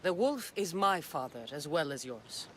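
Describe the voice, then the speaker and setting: An actor playing a bold, wry mercenary captain in a video game, with a terse, dry delivery. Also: male voice, on the high side, lively intonation, moderate pace, fairly bright tone, a little hiss or hum. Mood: challenging. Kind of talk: video game cutscene acting.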